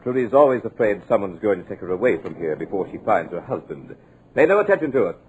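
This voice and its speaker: An actor playing a curt, secretive and commanding producer in a 1940s radio drama. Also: male voice, on the low side, very animated, fast talker, a little hiss or hum, low-quality recording. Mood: cold. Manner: clipped, commanding, dismissive. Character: curt, sinister male producer, secretive and controlling.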